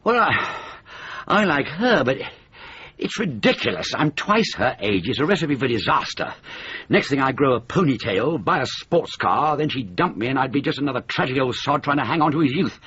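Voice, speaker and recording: An actor playing a self-pitying, middle-aged comedy writer and father in a British radio comedy-drama, halting and exasperated in his delivery. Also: medium pitch, lively intonation, pushed and bright, slightly rough, highs cut off. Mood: rueful, self-pitying.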